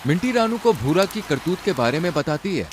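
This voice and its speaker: A man's voice: fairly high pitch, sing-song, a little fast. A man narrating a cartoon story in a dramatic scripted style. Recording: rain and outdoor noise behind.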